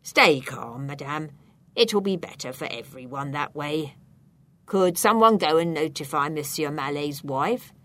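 A woman's voice: deep voice, lively intonation, a little slow, a bit bright, voice pushed down, full character voice. A woman voicing a stern, thin policeman in a storybook, speaking with formal, firm authority as he interrogates. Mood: firm, commanding.

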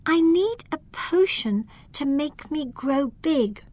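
A woman voicing a brave tiny elf girl in a storybook, bright and excitable. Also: sits high, slow pace, voice pushed up. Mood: spirited.

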